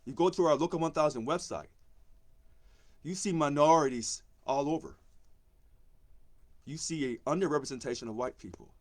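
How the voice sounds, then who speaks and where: A man with a deep voice, a union presidency candidate, speaking on a live stream and repeating key phrases for emphasis. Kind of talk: campaign speech on a live stream.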